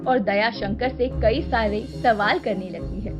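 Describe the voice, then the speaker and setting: A woman's voice: mid-range voice, measured pace, over music. A woman reading scripted narration for a cartoon village story.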